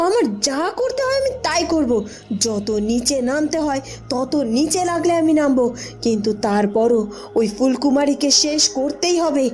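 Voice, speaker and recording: Shrill-high, jealous young villainess. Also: female voice, lively intonation, normal speed, a little mellow. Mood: jealous, determined. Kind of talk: Bengali cartoon voice acting.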